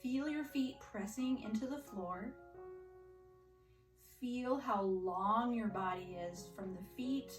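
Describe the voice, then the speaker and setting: A woman teaching yoga and mindfulness to children, giving gentle, reassuring step-by-step instructions. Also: fairly high pitch. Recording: roomy echo, distant mic, music behind.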